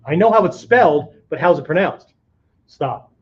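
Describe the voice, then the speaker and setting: A man's voice: a little high, animated, normal speed, breathy edge, dull audio. A man giving an informal martial arts lesson in an emphatic, conversational way, often checking in with the listener. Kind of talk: informal spoken lesson with vocal demonstrations.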